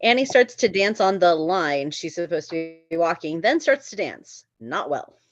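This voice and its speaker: A woman narrates screenplay action lines in a fairly low voice. The sound is close and free of room echo, with a slightly muffled top end. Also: big pitch swings, moderate pace, faint music behind. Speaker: stage direction narrator. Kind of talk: scripted narration, screenplay read aloud.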